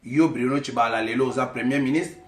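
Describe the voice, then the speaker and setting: A man's voice: mid-range voice, brisk. Fiery Congolese-accented man in an echoey room. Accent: Congolese French. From Kasaï, DR Congo. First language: Tshiluba. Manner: fiery, accusatory, calls out names directly. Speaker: vlogger addressing his community. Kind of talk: impassioned political address to camera.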